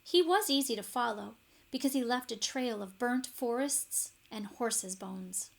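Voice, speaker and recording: A woman narrating a children's story expressively, with deliberate dramatic emphasis. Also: on the high side, gravelly. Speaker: storybook reader.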